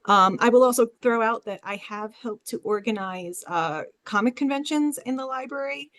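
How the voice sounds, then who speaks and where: A woman's voice: fairly high pitch, moderate pace, fairly bright tone. A woman who is a librarian giving an informal presentation as a panelist on a webinar.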